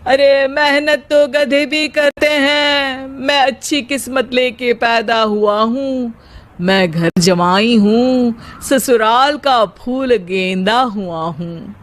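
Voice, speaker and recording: A woman's voice: on the high side, takes it slowly. A woman voicing a lazy, theatrical young married man who declaims comic rhymes in a cartoon story. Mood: smug declaiming. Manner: declaims in rhyming couplets, theatrical.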